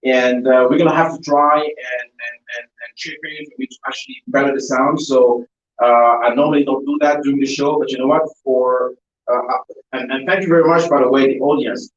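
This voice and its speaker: A man hosting a live online interview show, talking in a halting, repetitive way. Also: mid-range voice, fairly even intonation, dull audio, soft background music. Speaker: talk show host. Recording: roomy echo, distant mic, poor sound.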